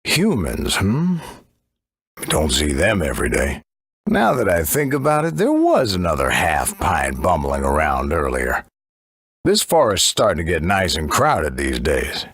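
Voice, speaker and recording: A man voicing a big, tame forest monster in a video game, gruff, husky, folksy and rueful. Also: very deep voice, wildly swooping pitch, unhurried, a bit bright, heavily acted. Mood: wry. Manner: folksy, wry.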